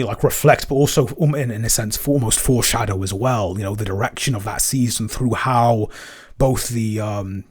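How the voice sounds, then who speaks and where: A man with a mid-pitched voice talks casually as a podcast co-host. He explains his creative work at length in a thoughtful, meandering way, often restarting and correcting his phrasing as he goes. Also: a little mellow, breathy edge. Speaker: podcast co-host and soundtrack composer. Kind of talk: casual Q&A chat.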